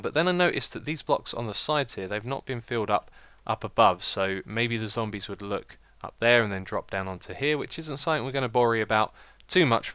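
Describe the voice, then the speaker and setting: Chatty, slightly croaky British man. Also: on the low side, pushed and bright, dry recording. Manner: chatty, self-mocking, laughs at own slips. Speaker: let's play host. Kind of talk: casual gameplay commentary.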